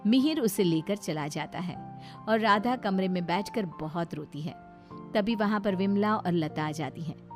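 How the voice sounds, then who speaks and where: A woman's voice: mid-range voice, measured pace, music in the background. A woman reading scripted narration for a moral story.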